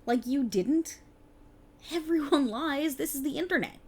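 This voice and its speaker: A woman's voice: sits high, a little slow, a little mellow. A woman playing a playful, exasperated voice actor bantering casually with her best friend in an ASMR roleplay. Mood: grudging, playful. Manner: sarcastic, chatty, casual, hesitant asides. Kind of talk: ASMR roleplay, one side of a conversation.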